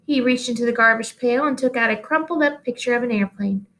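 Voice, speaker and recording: A woman with a fairly high voice narrates a children's book. Her read-aloud style is expressive, aimed at young listeners. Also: brisk.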